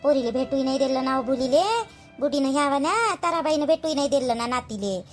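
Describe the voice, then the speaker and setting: A woman's voice: high voice, fairly quick, soft background music, natural voice. A woman playing an aggrieved, talkative village daughter-in-law in a cartoon, chatty and emphatic, with a Vidarbha Marathi accent. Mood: aggrieved gossiping. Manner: chatty, complaining, emphatic with repeated phrases. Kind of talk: colloquial cartoon gossip dialogue.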